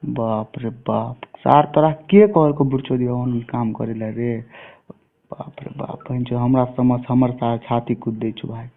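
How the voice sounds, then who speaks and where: A man's voice: medium pitch, steady tone, a little slow, vocal fry. A man with a Bihari accent from Mithila in an informal phone conversation, swearing often in a coarse manner. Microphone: telephone. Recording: tinny narrowband phone call recording.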